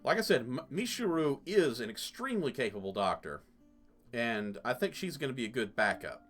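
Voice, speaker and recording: Male let's play host, middle-aged, conversational and animated, bright voice with music behind. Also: medium pitch, moderate pace. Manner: rambling, conversational. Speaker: gaming host. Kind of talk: casual let's play commentary. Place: small cluttered indoor room.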